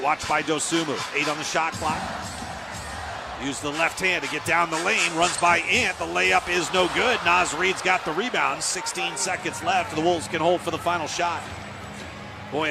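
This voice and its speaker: American radio basketball announcer, gravelly and higher-pitched. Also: male voice. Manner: clipped, fragmentary calls. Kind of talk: live basketball play-by-play commentary. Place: live sports broadcast from the game.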